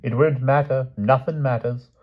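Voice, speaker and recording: A man's voice: medium pitch, fairly even intonation, moderate pace, muffled recording, voice pushed up, close to an everyday voice. A man voicing a dithering, lovesick old king who is fretful and self-pitying, with flustered, whining speech. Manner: flustered, whining, trailing "what?".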